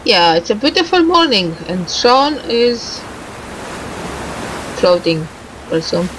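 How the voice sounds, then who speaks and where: Slow-paced woman commenting over a game. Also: medium pitch, big pitch swings, noisy background. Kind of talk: casual game commentary with bits of on-screen text read out.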